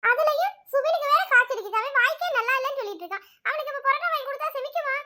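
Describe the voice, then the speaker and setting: A woman's voice: extremely high pitch, very fast, bright, forceful tone. A woman voicing a nagging, scolding mother. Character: scolding mother of a family, practical.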